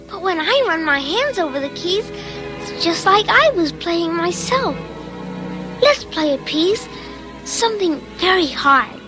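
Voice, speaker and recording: A woman playing an eager little boy pianist in an old children's story record, speaking in a childlike, deliberate way. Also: very high voice.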